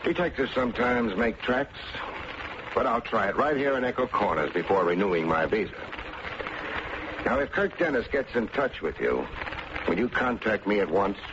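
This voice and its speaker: An actor playing a wry, sardonic big-city private detective who needles people, in old-time radio drama style. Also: male voice, on the low side, animated, normal speed, lightly acted. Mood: wry, teasing. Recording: dull, noisy vintage radio recording.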